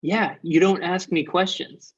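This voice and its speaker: A man's voice: high-pitched, fairly even intonation, measured pace, slightly rough, highs cut off. A man acting a skeptical, blunt friend at a comedy table read, questioning and wry.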